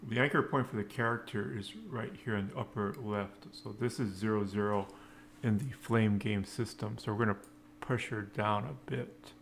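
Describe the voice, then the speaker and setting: A man giving a casual coding screencast, thinking aloud in halting, unscripted phrases. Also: fairly low pitch, fairly even intonation, creaky, slight background noise. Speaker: programming tutorial presenter.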